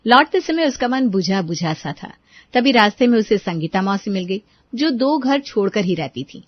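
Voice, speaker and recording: A woman reading scripted storytelling narration for an animated moral tale. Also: mid-range voice, moderate pace, dry recording, muffled recording.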